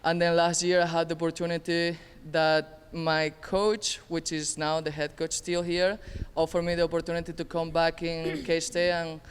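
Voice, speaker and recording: Crisp-voiced young man from Venezuela. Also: on the high side, level tone, moderate pace, hardly any pauses. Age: 20s. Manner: earnest, slightly nonstandard grammar. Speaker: assistant tennis coach. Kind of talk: casual panel introduction. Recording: reverberant hall.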